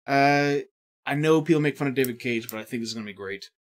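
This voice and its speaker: A man in his thirties co-hosting a casual gaming podcast, swearing freely and jumping between asides. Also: mid-range voice. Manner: animated, profane, quick asides and impressions. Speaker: podcast co-host. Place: indoor studio set.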